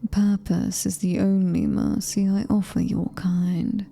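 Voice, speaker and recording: A woman performs a predatory alien-human hybrid female in a horror ASMR roleplay, speaking very slowly in a British accent. Her voice is dark and soft, with a creaky edge, sliding into breathy whispers. She is possessive, seductive and quietly commanding. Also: medium pitch, flat delivery, slight background noise. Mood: predatory, gloating.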